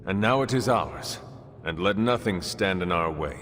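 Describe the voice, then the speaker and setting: A man playing a solemn, determined warrior hero in a video game, with formal, measured speech. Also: sits low, lively intonation, fairly bright tone, slight background noise. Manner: formal, measured, few contractions. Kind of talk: video game cutscene acting. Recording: long reverb, faint music.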